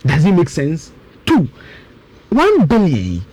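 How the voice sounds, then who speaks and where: A man in his thirties with a Ghanaian accent speaks as a guest commentator. His voice sits fairly high and swings widely in pitch. He argues emphatically, repeating points and firing off rhetorical questions. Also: wildly swooping pitch, takes it slowly, dark tone, no echo. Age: 30s. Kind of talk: budget commentary on a radio talk show.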